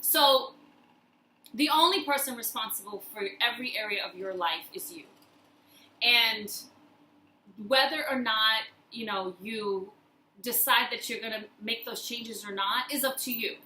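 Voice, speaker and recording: A woman's voice: fairly high pitch, unhurried, sharp tone. A woman hosting a motivational personal-growth video, speaking to the viewer emphatically and repeating phrases to drive points home. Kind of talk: motivational talk to camera. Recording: roomy echo, faint background noise.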